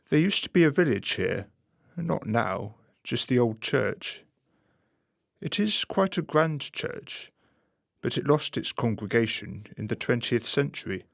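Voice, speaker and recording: A wry, conspiratorial storyteller for a radio drama. He has a creaky, mid-pitched voice and takes his time, confiding slyly in a dry, close sound. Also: no echo. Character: sly, confiding narrator of a satirical radio play. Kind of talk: scripted dramatic narration.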